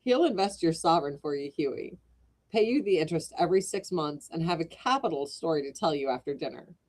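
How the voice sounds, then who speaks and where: Jovial teasing gent, woman reader, lowish. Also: expressive, brisk, a little mellow. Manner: teasing, amused. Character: amused young gentleman, teasing and jovial.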